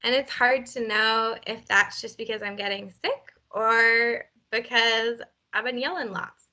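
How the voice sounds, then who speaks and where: A woman leading a guided tapping session, speaking gently and encouragingly and setting out phrases for a partner to repeat. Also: fairly high pitch, a little slow. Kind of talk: guided emotional freedom technique session.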